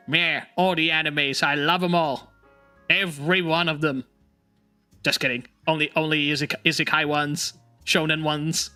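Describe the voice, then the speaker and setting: A man hosting a casual chat stream, laughing often and talking in an animated, teasing way; English is not his first language. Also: on the high side, measured pace, smooth, faint music behind. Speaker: streamer host.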